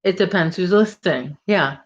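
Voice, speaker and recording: A woman's voice: on the low side, normal speed, dull audio. A woman with a Chicago accent hosting a casual crochet livestream from home, chatting and teasing while she works. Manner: chatty, reads viewer comments, teasing.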